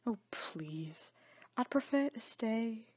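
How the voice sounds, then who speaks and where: A woman's voice: a little high, lively intonation, slow, darkish tone, husky, no room sound, soft background music, pitched above the performer's own voice, exaggerated voice. A woman voicing a bitter, defiant outlaw with a taunting, insistent manner in a dramatic audio story. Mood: sarcastic.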